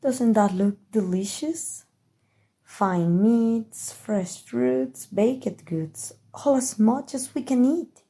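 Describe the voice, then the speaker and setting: A woman's voice: on the high side, slow, dark tone. A woman playing a haughty, scheming evil queen in an ASMR roleplay, with a regal, theatrical, condescending manner. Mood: indulgent, haughty. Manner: regal, theatrical, condescending, drawling with amused disdain. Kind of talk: ASMR roleplay audio drama.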